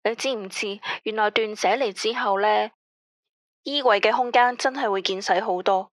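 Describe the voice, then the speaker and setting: A woman plays an obsessive late-night caller in a Cantonese radio drama, her Hong Kong accent quiet and unsettlingly matter-of-fact. The voice sits mid-height and is recorded dry and close, with no room around it. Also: medium pitch, normal speed, no room sound, natural voice. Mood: eerily calm. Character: anxious, obsessive female late-night caller, eerily calm.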